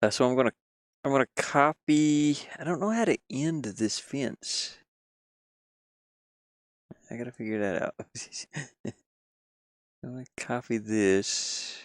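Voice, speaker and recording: A man's voice: medium pitch, slow, pauses often, no room sound, studio quality. A man from the United States chatting casually on a live game stream, rambling and muttering to himself as he works.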